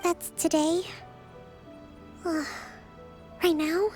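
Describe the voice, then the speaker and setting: High-voiced gentle young priestess. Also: female voice, flat delivery, soft background music, full character voice. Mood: weary disappointment. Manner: polite, measured, sighing. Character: gentle young priestess leader, polite, composed but weary. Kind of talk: video game voice acting.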